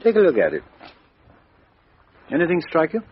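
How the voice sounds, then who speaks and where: A man playing a brisk, businesslike police inspector in a 1950s radio drama, husky voice. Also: a little high, huge pitch swings, heavily acted. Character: brisk middle-aged male police inspector. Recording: dull old radio broadcast.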